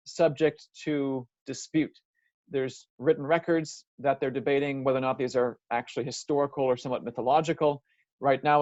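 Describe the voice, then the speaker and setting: A man's voice: medium pitch, darkish tone, no room sound. A man giving an earnest, methodical lecture, walking the listener through a timeline. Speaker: lecturer presenting a series. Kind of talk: explanatory lecture. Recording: dry, slightly dull recording.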